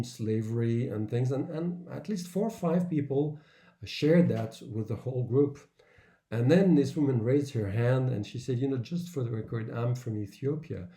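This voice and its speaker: A man giving an earnest, measured public talk with personal anecdotes, in broken phrases with pauses. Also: mid-range voice, mellow. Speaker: author and workshop speaker. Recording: roomy, echoing sound.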